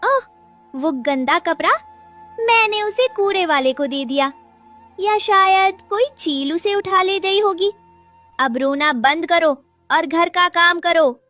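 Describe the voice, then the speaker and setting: A woman's voice: extremely high pitch, takes it slowly, bright, forceful tone, soft background music, voice pushed up, full character voice. A woman voicing a cruel cartoon stepmother crow with a scolding, contemptuous manner. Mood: dismissive.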